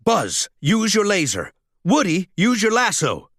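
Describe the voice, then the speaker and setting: A man's voice: high voice, huge pitch swings, measured pace, fairly bright tone, dry recording, studio quality, voice pushed up, exaggerated voice. A man voicing a solemn, encouraging toy leader of a tribe who instructs earnestly, in a children's storybook.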